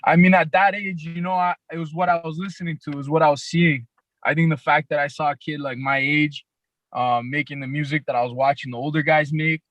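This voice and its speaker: A raspy young man with a Toronto accent, a rapper guest talking in a laid-back, halting way with many fillers. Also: fairly high pitch, steady tone, a bit bright, dry recording, poor audio. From Canada, grew up in Toronto. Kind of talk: casual podcast interview.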